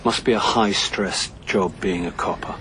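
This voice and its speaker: Low-voiced English man, acting a droll, dogged middle-aged detective, deliberate delivery, radio drama, some background noise. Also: fairly even intonation, lightly acted. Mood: quietly accusing. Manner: dry, deliberate, understated. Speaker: narrator and lead actor. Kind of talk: radio drama dialogue and first-person narration.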